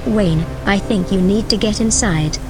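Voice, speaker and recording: Woman acting a worried careful radio companion in a sci-fi audio drama, short measured lines, medium pitch, music behind. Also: close to an everyday voice, rough recording. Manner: brief, measured, careful questions and warnings. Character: cautious, concerned female companion guiding over radio.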